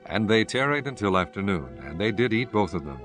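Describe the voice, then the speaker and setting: A man's voice: fairly low pitch, big pitch swings, a little fast, music in the background. A man giving a solemn, formal scripted narration of scripture as the narrator.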